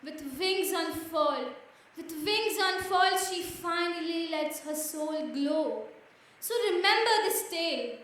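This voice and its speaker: High-pitched woman reciting slam poetry, very echoey. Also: takes it slowly, fairly bright tone, a little hiss or hum. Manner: dramatic, declamatory, with repeated phrases for emphasis. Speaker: slam poet. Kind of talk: performed spoken-word poetry recital. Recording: very echoey room, mic far off.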